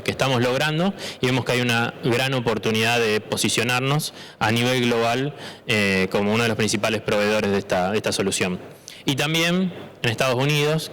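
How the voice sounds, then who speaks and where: Halting Argentine man on a panel. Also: mid-range voice, fairly bright tone. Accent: Argentine Spanish. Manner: halting, repeats words. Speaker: panelist, startup founder. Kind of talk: conference panel talk. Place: conference auditorium.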